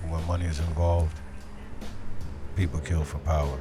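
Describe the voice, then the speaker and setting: A hardboiled detective acted by a man narrates a noir audio drama in an extremely low voice. He is brooding, measured and deadpan. Prominent music plays behind him.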